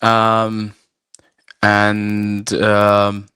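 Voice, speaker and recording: A man from Iran whose first language is Farsi, talking casually and haltingly on a video call, full of fillers and restarts. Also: fairly low pitch, monotone, bright, forceful tone, clear, resonant tone, no room sound, studio quality. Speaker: guest, arm wrestler and referee. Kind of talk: casual live-stream chat. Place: at home, arm wrestling table behind.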